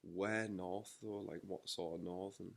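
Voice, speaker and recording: A man's voice: low voice, fairly even intonation, unhurried, gravelly. A young man with a North East English accent, interviewing in a casual, slangy chat. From North East England.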